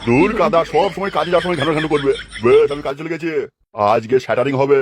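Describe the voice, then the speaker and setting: A man's voice: a little high, fast talker, a little hiss or hum, lightly acted. A man playing a jealous, fretful mason husband, bossy and fussy, in a comic cartoon dub. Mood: flustered.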